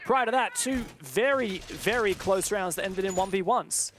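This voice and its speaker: A man doing live esports play-by-play commentary, getting excitable on big plays. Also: high-pitched, normal speed, slight background noise.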